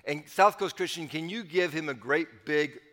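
A man's voice: on the high side, moderate pace, sharp tone, long reverb tail, slight background noise. An older man, the church's pastor and podcast host, welcoming listeners and rambling through an introduction of the guest speaker.

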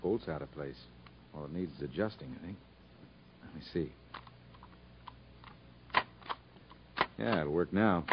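An actor playing a stubborn, sullen convict in a 1950s radio drama, laconic and quietly defiant. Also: male voice, a bass voice, fairly quick. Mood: quiet, matter-of-fact. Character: stubborn, sullen Southern male convict, self-minded. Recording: dull, noisy 1950s radio recording.

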